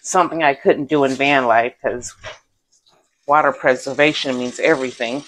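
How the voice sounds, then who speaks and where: A woman in her thirties chatting casually as she cooks in her small home kitchen, relaxed and rambling, wearing a lapel mic. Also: extremely low pitch, normal speed, rough recording. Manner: relaxed, rambling, laughs to herself. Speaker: vlogger. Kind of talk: casual cook-along chat. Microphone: clip-on lapel mic.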